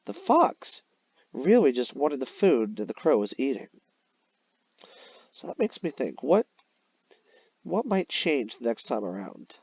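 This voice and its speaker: A man's voice: mid-range voice, huge pitch swings, unhurried, pauses often, a little mellow, slightly rough, no echo, muffled recording. A man teaching young children in an explanatory way, as in a recorded read-aloud lesson. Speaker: elementary teacher. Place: voice-over on a screen-recorded slide lesson.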